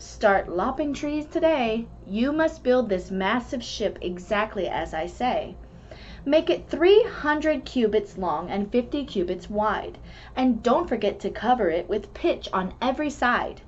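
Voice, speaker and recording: A woman's voice: fairly high pitch, big pitch swings, a little slow. A woman voicing a solemn, commanding God in a children's Bible storybook, earnest and instructing. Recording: dull lo-fi recording with background noise.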